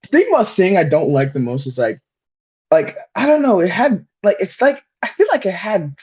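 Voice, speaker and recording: Muffled mic with faint noise, young man, high pitch, emphatic, sweary slang, casual chat. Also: very animated, normal speed, darkish tone. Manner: emphatic, slangy, profane, repetitive. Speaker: podcast panelist.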